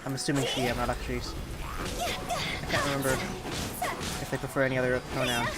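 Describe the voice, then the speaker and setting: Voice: mid-range voice, huge pitch swings, normal speed. A game streamer giving casual live commentary, rambling and often breaking off mid-thought while thinking aloud. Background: game music.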